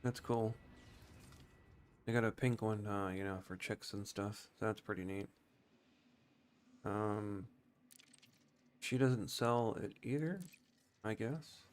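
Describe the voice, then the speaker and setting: Low-voiced man rambling over gameplay. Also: takes it slowly, pauses often, a little hiss or hum. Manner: rambling, musing to himself. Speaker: video game streamer. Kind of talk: casual gameplay commentary.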